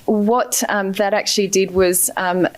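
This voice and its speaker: A woman's voice: medium pitch, fairly even intonation, measured pace. A middle-aged Australian woman giving an award acceptance speech, earnest and grateful, with many hesitant fillers. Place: ceremony hall.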